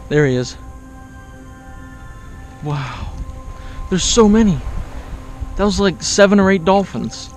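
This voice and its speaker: A man's voice: expressive, takes it slowly, long pauses, darkish tone, soft background music. A young man vlogging from a kayak on open water, commenting in breathless, awed exclamations through an action camera. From raised in Oklahoma, born in Kansas. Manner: breathless awed exclamations, often rising high. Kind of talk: excited outdoor vlog commentary. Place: kayak on open sea, outdoors. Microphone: action camera mounted on kayak.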